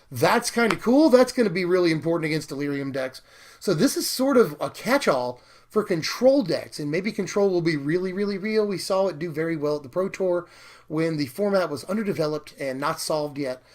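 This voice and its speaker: A young man with a fairly high voice gone slightly hoarse talks eagerly about new cards. He rambles casually, full of fillers and quick bursts of excitement. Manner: enthusiastic, talkative, fillers and laughs. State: hoarse, losing his voice. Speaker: card game video host.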